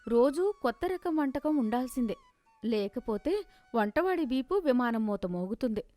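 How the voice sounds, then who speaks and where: A woman's voice: a little high, lively intonation, moderate pace, dark tone, no room sound. A woman narrating a scripted moral story in a storytelling style.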